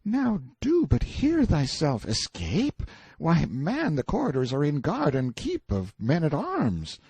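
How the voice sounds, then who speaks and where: A man's voice: on the high side, wildly swooping pitch, slow pace, creaky, a little mellow, husky, dry recording, voice pushed up, exaggerated voice. A man voicing a timid young medieval page boy who speaks in archaic, courtly exclamations in an audiobook story. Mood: anxious, hesitant. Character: timid, light-hearted medieval page boy, superstitious and easily frightened.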